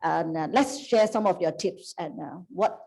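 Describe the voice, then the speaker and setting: A woman's voice: lowish voice, measured pace, a little mellow. A woman moderating a panel, posing questions hesitantly with frequent "uh" fillers and loose grammar.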